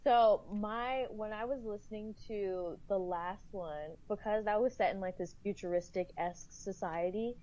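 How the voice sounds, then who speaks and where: A woman guest on a podcast, chatting casually, animated and laughing often, full of "like" fillers. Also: mid-range voice, moderate pace, hardly any pauses, muffled recording. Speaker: guest cast member.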